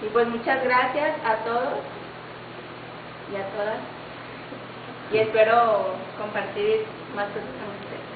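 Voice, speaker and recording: A woman's voice: fairly high pitch, fairly even intonation, unhurried, pauses often. Tinny-sounding young Mexican woman. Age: young adult. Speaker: pageant contestant. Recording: tinny, echoey, noisy distant recording.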